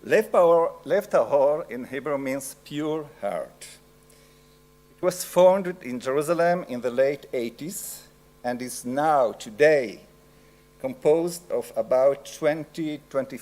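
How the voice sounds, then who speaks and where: A middle-aged man with an Italian accent giving an academic lecture, slow and halting with frequent pauses. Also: a little high, a little mellow.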